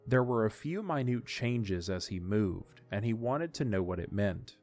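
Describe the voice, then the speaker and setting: A man's voice: lowish voice, lively intonation, unhurried, darkish tone. A man reading scripted story narration as the narrator of an audio drama. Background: faint music.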